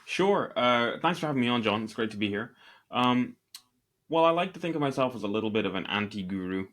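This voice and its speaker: A man's voice: medium pitch, animated, brisk, fairly bright tone. A man from Northeast Scotland with a Scottish accent, a podcast guest telling his story in a candid, self-deprecating, conversational way. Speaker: podcast guest, e-commerce entrepreneur. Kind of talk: conversational interview answers.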